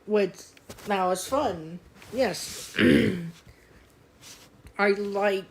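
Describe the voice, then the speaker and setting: An eighteen-year-old woman with a youthful, childish-sounding voice, chatting casually and rambling on a gaming stream, with lots of fillers and throat clearing. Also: mid-range voice, drawn-out words, a little hiss or hum.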